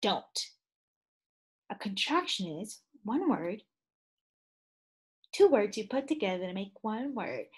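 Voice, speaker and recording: Woman teacher, slow and lively read-aloud voice, a little breathy. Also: medium pitch. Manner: animated, gasps and sighs, asks children questions. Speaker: second grade teacher. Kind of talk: children's read-aloud with questions.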